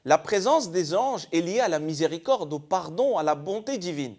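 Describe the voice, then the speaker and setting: A man's voice: sits high, animated, moderate pace, darkish tone. A man from eastern France giving an explanatory religious talk as a panel guest, in a didactic way with rhetorical questions.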